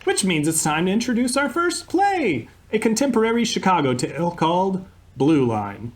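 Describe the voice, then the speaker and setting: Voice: mid-range voice, big pitch swings, moderate pace, lightly acted. A performer playing a chatty old-time radio host who drifts into travel stories, over a video call. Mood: rambling.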